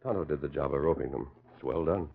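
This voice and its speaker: An American man acting a calm, authoritative masked cowboy hero in a 1940s radio western. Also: very deep voice, fast talker, husky, heavily acted. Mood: calm, assured. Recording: muffled vintage 1940s radio recording.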